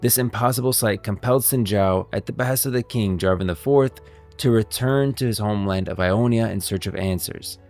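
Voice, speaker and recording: A man's voice: lowish voice, fairly quick, darkish tone, faint music behind. A man streaming game lore commentary, moving between scripted narration and off-the-cuff explanation.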